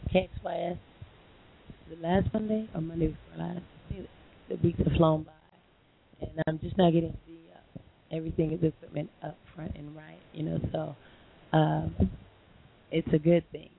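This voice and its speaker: A hoarse woman hosting a live call-in internet radio show, chatting in a rambling way with many fillers and restarts. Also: low voice, fairly even intonation, moderate pace, pauses often. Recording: lo-fi phone line with faint hiss.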